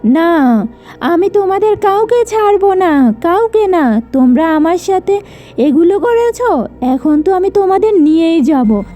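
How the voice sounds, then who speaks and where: A woman's voice: very high voice, animated, mellow, soft background music. A woman voicing a menacing, taunting female ghost witch who eats children, in a cartoon. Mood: vengeful, furious. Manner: taunting, threatening, drawn-out. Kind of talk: children's cartoon villain acting.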